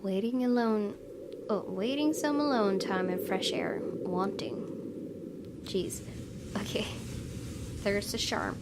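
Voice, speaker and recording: A woman's voice: medium pitch, takes it slowly, pauses often. Woman reading a story aloud, noisy background. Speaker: gaming video host reading a visual novel aloud. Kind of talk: expressive story narration with casual asides.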